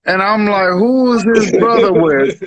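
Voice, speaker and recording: A man's voice: high voice, a little slow, a loud crowd, poor audio. A man from Spanish Harlem, telling stories in a casual chat and laughing easily. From Spanish Harlem, New York. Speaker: podcast co-host.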